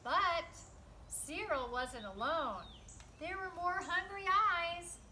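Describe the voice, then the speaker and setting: A woman reading a picture book aloud to children in an animated, teacherly way, with emphatic delivery and explanatory asides. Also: extremely high pitch, slow, slight background noise. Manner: animated, emphatic, teacherly, asks listeners questions.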